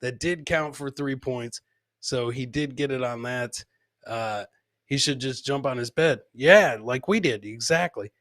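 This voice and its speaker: Guy riffing on funny clips for his podcast, bright punchy voice, dry close sound, says stuff twice. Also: mid-range voice, measured pace, dry recording. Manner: repeats his phrases for comic effect. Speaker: comedy podcast host. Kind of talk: casual live commentary on funny video clips.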